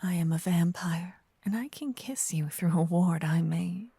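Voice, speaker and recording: A woman voicing a gentle, possessive, seductive female vampire lover in a breathy, intimate whispered ASMR roleplay, close to a binaural microphone. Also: on the low side, no room sound, full character voice. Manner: slow, intimate, lingering delivery with solemn romantic phrasing. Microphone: close binaural microphone, ear to ear.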